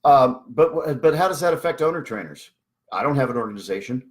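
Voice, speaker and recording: A woman's voice: rumbling low, animated, brisk. A woman talking casually and explaining rules in a wry, emphatic manner. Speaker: speaker on a live Q&A about service dogs.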